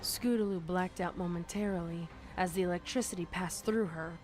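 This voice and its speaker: Low-voiced woman narrating a horror story. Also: moderate pace, a little mellow. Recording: music under the narration. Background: music.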